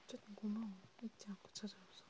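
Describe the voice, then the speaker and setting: A woman whispering softly and breathily in a gentle, soothing ASMR roleplay, with pauses and murmured acknowledgements, as if chatting to one listener. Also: mid-range voice. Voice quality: whispery, breathy soft speech. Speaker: ASMR creator, host. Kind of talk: ASMR hand-massage roleplay, soft one-sided chat. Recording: clear steady background hiss, ambient noise.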